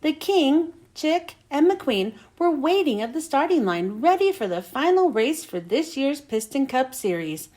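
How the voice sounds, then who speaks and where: A woman reads a children's story aloud. Her voice is high and swings up and down a lot, with dramatic emphasis on the storytelling. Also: very animated, unhurried, few pauses, darkish tone. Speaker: children's book reader.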